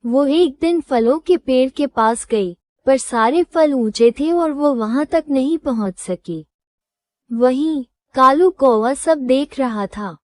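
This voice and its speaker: A woman reading a scripted children's moral story as storyteller, in a measured, instructive manner. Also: sits high, a little mellow, dry recording.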